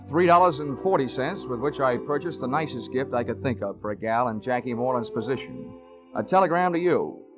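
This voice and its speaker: A muffled vintage radio recording with prominent music carries an actor as a cool-headed American private investigator. He is a man with a medium-pitched voice and a flippant, wisecracking delivery, in the manner of mid-century radio detective shows. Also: natural voice. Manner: wry, flippant. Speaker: lead actor and narrator. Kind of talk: 1940s-50s radio detective acting and narration.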